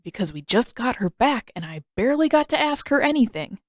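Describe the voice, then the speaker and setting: A woman's voice: on the high side, sing-song, fairly quick, no room sound, studio quality, voice pushed up. A woman voicing a brash, grumpy, protective teenage big brother in a story, indignant and pestering.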